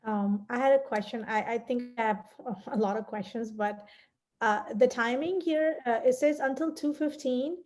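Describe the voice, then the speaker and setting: Student lady on a video call, kinda high voice, polite and a bit hesitant. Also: moderate pace, a little mellow.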